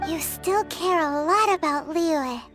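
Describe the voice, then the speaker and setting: A woman voicing a tiny, childlike, excitable fairy companion in a video game, with a squeaky, cute delivery. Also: slow pace, music in the background. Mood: cheerful, curious. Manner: cute, speaks of self in third person.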